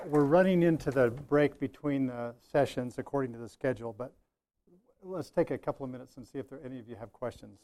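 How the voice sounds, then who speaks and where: Man, session moderator, mellow mid-pitched voice, Q&A talk, faint background noise. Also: quick pace.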